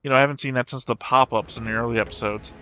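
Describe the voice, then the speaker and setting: A man's voice: medium pitch, steady tone, rapid-fire, bright, forceful tone, soft background music. A man hosting an anime reaction channel, talking casually and thinking aloud in a rambling way.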